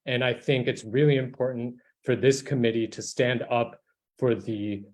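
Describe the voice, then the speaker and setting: A man with a mid-pitched voice speaks as a caller at a public meeting. He is earnest and emphatic, delivering a prepared statement of advocacy. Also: fairly even intonation, normal speed, a little mellow. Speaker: public commenter calling in.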